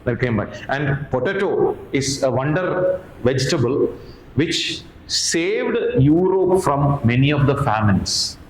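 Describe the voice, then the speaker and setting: Indian-accented male lecturer in an echoey room. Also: medium pitch. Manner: didactic, repeats key points and poses questions to listeners. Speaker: history teacher at an exam coaching academy. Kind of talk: explanatory exam lecture. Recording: echoey room with faint background noise.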